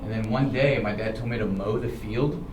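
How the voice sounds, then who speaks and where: An American man tells informal personal stories in an animated, dramatic way, often checking in with his listeners. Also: on the low side, brisk. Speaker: storyteller, show host. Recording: roomy, distant, clearly noisy recording.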